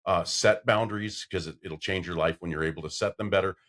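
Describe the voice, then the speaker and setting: This is a middle-aged man with a deep, booming low voice that fills the room. He hosts a casual podcast, rambling through stories and acting out what people said, and his volume climbs whenever he gets excited. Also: fast. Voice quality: deep voice that carries. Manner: loud when excited, storytelling with acted-out quotes. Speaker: podcast host.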